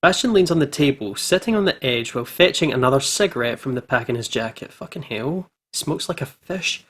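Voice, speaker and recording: Husky man doing game commentary. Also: mid-range voice, lively intonation, a little fast, fairly bright tone. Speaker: let's play host. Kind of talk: game commentary and reading text aloud.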